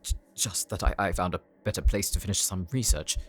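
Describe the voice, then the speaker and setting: A man's voice: deep voice, brisk, close to an everyday voice. An actor playing a nervous, exasperated male researcher in an audio drama, anxious and pleading but polite. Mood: uneasy.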